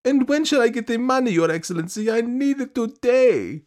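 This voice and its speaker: A man putting on a shrill pleading woman. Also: big pitch swings, normal speed, darkish tone. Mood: insistent. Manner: shrill, wheedling and insistent. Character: pleading fussy middle-aged woman petitioner.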